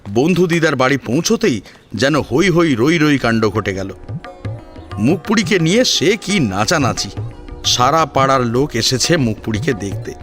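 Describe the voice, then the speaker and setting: Man, story narrator, medium pitch, animated intonation, dramatic scripted delivery, faint music behind. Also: measured pace.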